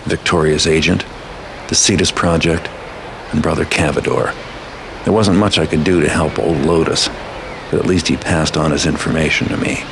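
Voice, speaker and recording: An actor playing a cynical master thief for hire in a video game, sardonic and blunt, with a gravelly voice. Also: male voice, extremely low pitch, fairly even intonation, moderate pace, noisy background. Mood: grim, wry. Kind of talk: dramatic video game cutscene dialogue. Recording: background music under the voice.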